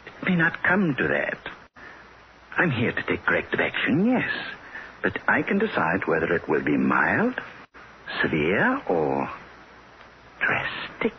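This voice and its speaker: Elderly little alien, polite, hesitant, lively swooping intonation, quietly threatening, vintage radio play, faint hiss. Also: male voice, sits low, a little slow, pauses often, full character voice. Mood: mildly menacing. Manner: genteel, hesitant word-searching, matter-of-fact. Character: small old male alien, polite, calmly menacing. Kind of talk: 1960s radio drama acting. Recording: dull, noisy old radio recording.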